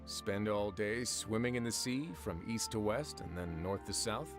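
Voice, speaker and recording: A man's voice: lowish voice, a little slow, natural voice. A man voicing a wry prison warden in a game, speaking in a dry, teasing way. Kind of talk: game dialogue acting. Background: game music.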